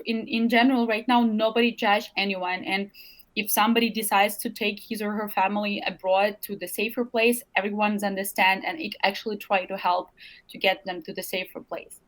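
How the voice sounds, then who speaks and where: A woman's voice: a little high, a bit bright, poor audio. A young adult woman from Ukraine with a Ukrainian accent, speaking earnestly in an interview and often searching for words. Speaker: interview guest. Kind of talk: remote interview.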